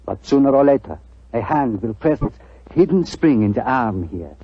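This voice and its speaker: Husky old German antique dealer. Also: male voice, mid-range voice, sing-song, normal speed, no echo. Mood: earnest. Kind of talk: 1940s radio acting. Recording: dull, muffled 1940s radio recording.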